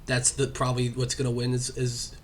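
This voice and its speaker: A man's voice: medium pitch, completely flat delivery, fairly quick. A young man hosting a casual podcast chat, rambling with lots of fillers and nursing a cough. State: has a cough. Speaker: podcast host.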